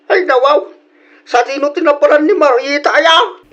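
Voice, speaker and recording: Scolding old man, high-pitched, Ilocano accent, incredulous, muffled sound, radio drama. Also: moderate pace, fairly bright tone. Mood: surprised. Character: indignant old father-in-law, scolding and incredulous.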